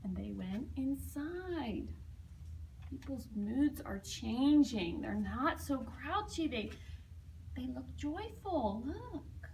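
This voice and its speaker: An expressive woman reads a picture book to young children with lively, swooping intonation. Her voice is high and bright, and she drops into hushed asides between dramatic phrases. She is recorded at some distance from the mic. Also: slow, creaky, slight background noise. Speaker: storybook reader.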